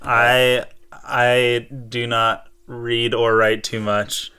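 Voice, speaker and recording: A man chatting casually and playfully as co-host of a fan show, halting as he thinks aloud. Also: medium pitch, flat delivery, bright, forceful tone, clear, resonant tone. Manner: playful, improvised sock-puppet banter. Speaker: fifth and sixth grade teacher. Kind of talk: casual chat.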